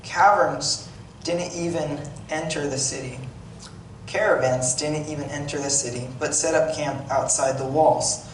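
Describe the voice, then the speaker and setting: A man's voice: fairly high pitch, steady tone, measured pace, natural voice. A man reading aloud as a plain-spoken, wry, slightly grumbling village potter, in a conversational storytelling way with occasional stumbles. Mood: matter-of-fact. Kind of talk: dramatic monologue read aloud. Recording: echoey room, distant mic, noisy background.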